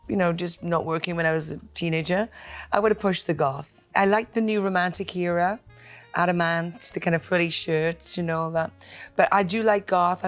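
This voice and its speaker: A middle-aged woman with a Welsh accent chatting animatedly in a quick question game, gasping and playfully emphatic. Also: fairly low pitch. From Wales. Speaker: actress, interview guest. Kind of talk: casual rapid-fire chat.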